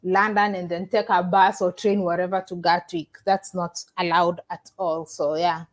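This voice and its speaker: A woman's voice: on the low side, level tone, moderate pace. A woman from Africa who lives in the Caribbean, chatting casually on a live stream. She reads viewer comments and talks to them directly, often restarting her sentences. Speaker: YouTuber livestreaming and reading chat. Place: living in the Caribbean.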